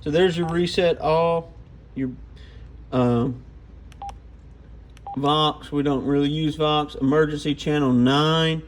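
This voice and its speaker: A man's voice: fairly high pitch, steady tone, slow, pauses often, slight background noise. A man giving an informal, off-the-cuff hands-on product walkthrough. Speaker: radio gear reviewer.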